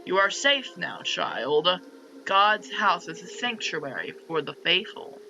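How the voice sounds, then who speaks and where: A man's voice: high-pitched, very animated, slow, pushed and bright, slight background noise, heavily acted, low-quality recording. A man voicing a concerned, kindly aging priest in a visual novel, solemn and reassuring.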